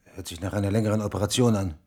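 An actor playing a skeptical investigative journalist who keeps asking questions in a TV drama. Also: male voice, lowish voice, fairly even intonation, rapid-fire, darkish tone, slightly rough. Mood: questioning. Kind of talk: scripted TV drama acting.

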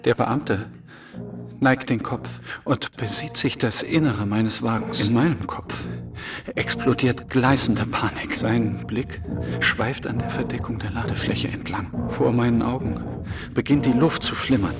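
An actor playing a nervous man hiding his panic behind a controlled, measured manner in a German radio drama. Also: mid-range voice, close to an everyday voice. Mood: inner panic. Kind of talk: radio drama narration and dialogue. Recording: music under the voice.

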